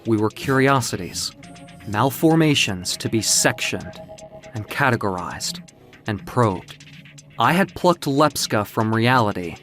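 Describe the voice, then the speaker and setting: A narrator telling a dramatic story in a measured, literary, theatrical way, as in an audio comic book. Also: mid-range voice, lively intonation, a bit bright, faint music behind.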